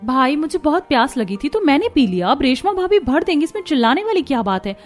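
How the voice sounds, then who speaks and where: A carefree, cheeky young sister-in-law in a Hindi cartoon. She talks back nonchalantly in a high voice over background music. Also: big pitch swings, quick pace. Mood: cheeky, defiant. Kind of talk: Hindi cartoon story voice acting.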